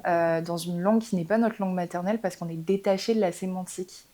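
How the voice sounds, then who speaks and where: A woman's voice: lowish voice, steady tone, fast, dark tone. A young woman, a band's singer, explaining her ideas thoughtfully in a casual interview, with frequent fillers. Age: young adult. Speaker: singer in a band.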